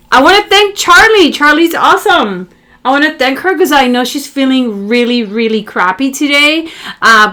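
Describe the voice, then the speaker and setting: Upbeat woman hosting a how-to vlog. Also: a little high. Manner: warm, encouraging, talks directly to viewers. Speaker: channel host. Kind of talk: casual how-to vlog chat.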